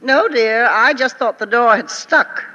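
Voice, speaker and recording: A woman's voice: fairly high pitch, unhurried, pushed and bright. A woman playing a frank, patient friend who gives matter-of-fact criticism in a 1950s radio comedy. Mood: blunt, insistent. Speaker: stage actress playing a part. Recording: dull old radio recording, hall reverb.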